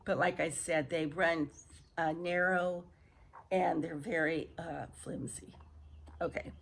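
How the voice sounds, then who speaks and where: A woman vlogger with a hoarse early-morning voice, chatting casually and haltingly to viewers as she repeats and restarts phrases. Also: low-pitched, fairly even intonation, slight background noise. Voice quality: husky, hoarse. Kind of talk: casual rambling chat to viewers. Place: outdoor home patio.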